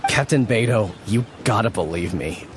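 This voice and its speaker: A man's voice: lowish voice, lively intonation, moderate pace, slight background noise, lightly acted. A man voicing an earnest village man who explains himself apologetically and stammers when flustered. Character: earnest young village man, dutiful son. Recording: game cutscene with loud background music.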